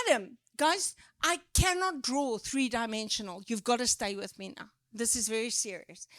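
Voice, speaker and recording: A woman with a South African accent, preaching a sermon in an animated, emphatic way with drawn-out stresses. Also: high voice, dry recording, studio quality. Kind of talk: sermon teaching.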